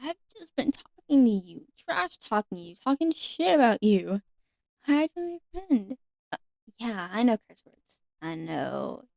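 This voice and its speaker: A woman voicing a lonely, ancient nature fairy, sweet and timid, speaking haltingly and sometimes whispering in an ASMR roleplay. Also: a little high, big pitch swings, creaky, darkish tone, no room sound, heavily acted. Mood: shy, earnest. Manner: hesitant, halting, apologetic, often whispering. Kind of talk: ASMR roleplay, one-sided conversation with the listener.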